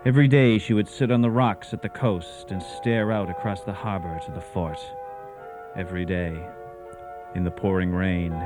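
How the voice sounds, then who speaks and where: An actor narrating a period radio drama as a solemn, wistful storyteller. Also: male voice, low voice, lightly acted. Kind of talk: scripted narration.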